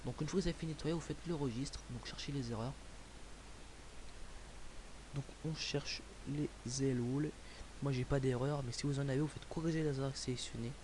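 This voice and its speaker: A man's voice: medium pitch, steady tone, moderate pace, pauses often. Rambling young French man, hissy recording. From France. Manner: rambling, many fillers and restarts. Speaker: tutorial maker. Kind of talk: casual screen-recorded tutorial.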